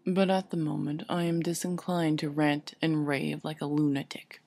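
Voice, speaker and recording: A woman reading an audiobook story and voicing a sardonic male wizard, deadpan and coldly unmoved, with a muttering, pointed delivery. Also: sits low, moderate pace, vocal fry, no room sound. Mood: cold, sneering. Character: sardonic, bland, sneering adult male wizard.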